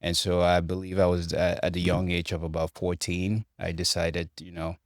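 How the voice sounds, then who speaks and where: A man in his forties from Cameroon, a construction director answering interview questions in a measured, reflective way with frequent restarts. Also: low voice, completely flat delivery, no echo, clean studio sound. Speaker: construction director, podcast guest. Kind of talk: interview conversation.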